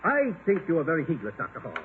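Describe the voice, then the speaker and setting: On a tinny old radio recording with faint noise, a pompous male chairman of the board. His high voice hurries along, stiff, scolding and indignant, in 1950s radio comedy-drama style. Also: huge pitch swings, fast talker.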